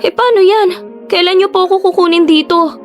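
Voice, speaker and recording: A woman's voice: very high voice, a little fast. A woman playing a weary, dutiful young daughter in a Tagalog radio drama. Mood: hurt, pleading. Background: music.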